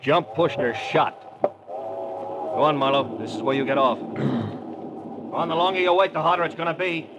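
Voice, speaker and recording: A man's voice: unhurried, creaky, long pauses, lo-fi sound. Menacing radio gunman, noisy recording. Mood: cold, insistent. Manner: hard, threatening, slangy tough talk. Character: menacing tough male gunman, protective older brother. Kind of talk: 1940s-50s radio crime drama acting. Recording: noisy old radio recording, train rumble behind.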